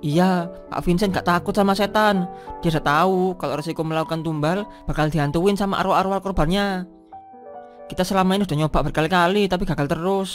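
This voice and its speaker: A man's voice: sits high, quick pace, faint music behind, lightly acted. A man voicing the earnest, helpless ghost of a sacrificed labourer in a comic horror cartoon. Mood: explaining, resigned.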